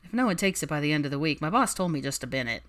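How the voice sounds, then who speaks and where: A woman's voice: low voice, expressive, rapid-fire, fairly bright tone. A woman voicing a sleepy, indifferent teenage boy working as a shop clerk in an audiobook. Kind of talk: acted audiobook dialogue.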